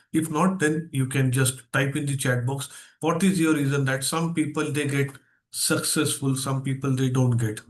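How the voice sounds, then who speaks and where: A man with an Indian accent speaking as a guest trainer in an online seminar, stressing key phrases and putting questions to his listeners. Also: medium pitch. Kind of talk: interactive online seminar talk. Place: online video session.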